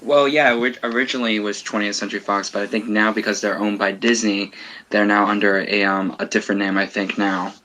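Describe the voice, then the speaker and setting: A man's voice: fairly low pitch, level tone, a little fast, pushed and bright, low-quality recording. A man speaking as a panelist in a casual group chat, somewhat hesitant and repeating phrases. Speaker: livestream panelist and amateur singer.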